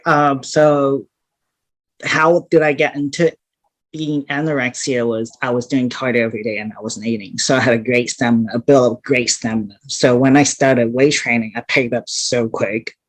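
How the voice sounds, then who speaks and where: A woman's voice: rumbling low, steady tone, moderate pace, no room sound, low-quality recording. Blunt woman with a dry, close sound. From Hong Kong. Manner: blunt, straightforward. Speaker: interview guest, competitive bodybuilder. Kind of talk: casual interview talk.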